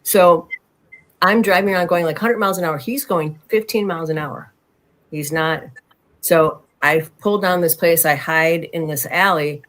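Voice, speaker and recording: Lively woman host from Chicago. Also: lowish voice, moderate pace. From Chicago, USA. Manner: animated, exclaims and reacts often. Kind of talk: casual chat and personal anecdote.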